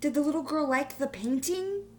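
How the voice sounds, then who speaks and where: A frustrated fifth-grade student from a storybook, acted out by a woman reader, insecure and plaintive. The voice is pushed up high, over a faint background hum. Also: expressive, moderate pace, a little mellow. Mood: curious.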